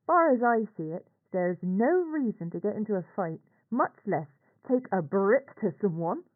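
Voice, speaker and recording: An actress playing a middle-aged working-class aunt with a Northern English accent, confiding in a halting, colloquial way in a horror audio log, through a radio-like filter. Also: female voice, medium pitch, lively intonation. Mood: exasperated, ashamed. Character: working-class English aunt, a nurse, anxious, ashamed, recounting events. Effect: radio or telephone-like filter. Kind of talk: scripted confessional monologue for horror audio log. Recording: tinny, band-limited audio log recording.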